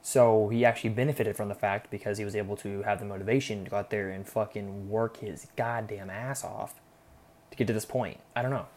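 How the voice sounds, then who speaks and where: A young man with a slightly low voice talks solo as a podcast host. He rambles off the cuff in a slangy, sarcastic way and swears freely. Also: steady tone, brisk, darkish tone, a little hiss or hum. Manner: heavy casual swearing, slangy; sarcastic, irreverent. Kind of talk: casual unscripted rambling monologue.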